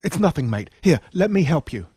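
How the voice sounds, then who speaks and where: Man as urgent Australian boy hero, raised pitch. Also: big pitch swings, fairly quick, mellow, slightly rough, no echo, studio quality, full character voice. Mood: reassuring. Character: young boy hero, loyal, urgent, protective mate. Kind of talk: acted dialogue in horror audiobook.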